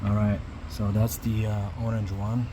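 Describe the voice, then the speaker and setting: A man narrating a casual hands-on repair tutorial, speaking haltingly and repeating words as he works. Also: lowish voice, flat delivery, noisy background. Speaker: hobbyist demonstrating a radio repair.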